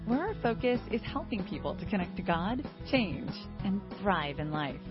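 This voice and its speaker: A woman's voice: mid-range voice, very animated, normal speed. A woman reads a scripted church podcast intro. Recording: lo-fi intro over music.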